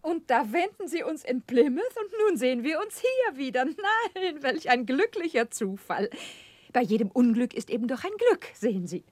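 Genteel, effusive, talkative wife. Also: female voice, high voice, big pitch swings, moderate pace, no echo. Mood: delighted. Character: genteel, talkative wife, proud of family and husband. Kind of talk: German radio play acting.

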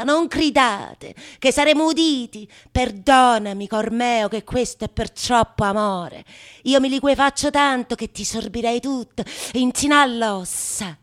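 A woman playing a fierce, lewd, vengeful wife in a Renaissance stage comedy, declaiming emphatically with drawn-out words and gleeful mock passion. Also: on the high side, natural voice. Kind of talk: theatrical monologue of Renaissance comedy.

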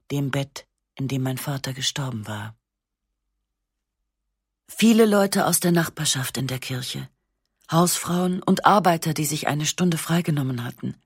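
A woman narrating a literary text in the first person, with a measured, restrained and sober delivery on a close studio microphone. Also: rumbling low, breathy edge, no echo. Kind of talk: literary reading of a memoir-like novel.

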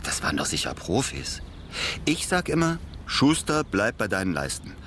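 Low-voiced, chummy working-class ex-convict. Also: male voice, huge pitch swings, moderate pace, fairly bright tone, a little hiss or hum. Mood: bantering. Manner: colloquial, chummy. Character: chatty, simple, good-natured working-class ex-convict. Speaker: radio drama actor. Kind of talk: naturalistic German radio crime comedy acting.

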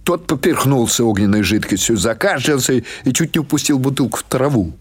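A man reading literary prose as an audiobook narrator, in an expressive, measured storytelling manner. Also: mid-range voice, slightly rough.

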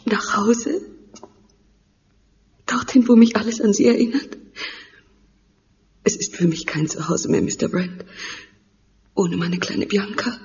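A woman's voice: medium pitch, takes it slowly, long pauses, darkish tone, slight background noise. A woman playing an anguished, crying mother mourning her daughter in a German audio drama. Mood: broken, mournful. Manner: anguished, pleading, dramatic delivery. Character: grieving mother identifying her murdered teenage daughter. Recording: dull sound with long reverb.

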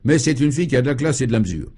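A man's voice: medium pitch, lively intonation, very fast, mellow, husky, voice pushed up. A man voicing a talkative, worldly male friend and guide in a comic radio serial, emphatic and mock-formal. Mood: persuasive.

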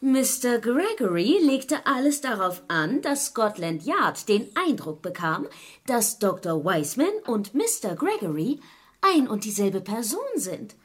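An actress playing a poised, curious detective's wife in a radio crime drama, polite and expressive. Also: female voice, a little high, a little slow, slightly rough, full character voice.

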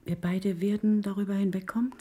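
An actress playing a brisk, practical, no-nonsense foster mother in a radio drama. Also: mid-range voice, fairly even intonation, noisy background, close to an everyday voice. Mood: gentle, serious.

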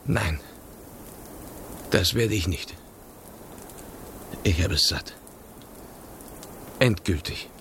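Bedrängter Liebhaber im Hörspiel, deutliche Außengeräusche. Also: male voice, deep voice, very slow, long pauses, a bit bright, a little hiss or hum, heavily acted. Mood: bitter resolve. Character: desperate kept lover, pleading, turning resolute schemer. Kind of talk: German radio drama acting.

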